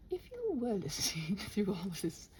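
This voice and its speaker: A woman in a casual, improvised stream-of-consciousness ramble, halting and interrupting herself, often slipping toward a half-whisper, with a gentle encouraging manner. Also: medium pitch, slight background noise. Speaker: host of an improvised ramble audio for body doubling.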